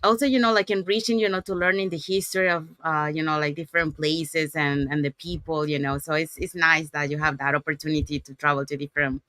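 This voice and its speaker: A woman's voice: low-pitched, steady tone, fast, hardly any pauses, a bit bright, no room sound. A woman hosting an interview over a video call, talking casually with many fillers. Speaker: host.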